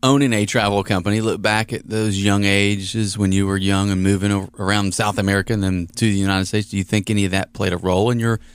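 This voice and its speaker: Male interviewer, brisk and clear-voiced, friendly questions. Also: on the low side, flat delivery, dry recording. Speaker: podcast co-host.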